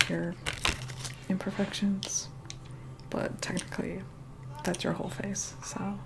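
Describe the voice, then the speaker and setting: A woman's voice: mid-range voice, vocal fry, noisy background. A woman playing a snobbish, reluctant young vampire in an ASMR roleplay, whispering in a sarcastic, bossy, condescending way.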